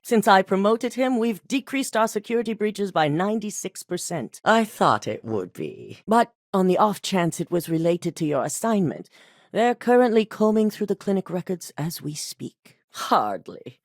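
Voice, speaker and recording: A calculating female executive gives orders with clipped, unbothered authority. Her voice sits fairly low yet rises and falls widely as she weighs her words. The studio recording is completely dry, with no room sound. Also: no room sound. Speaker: corporate boss giving missions. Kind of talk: video game voice acting.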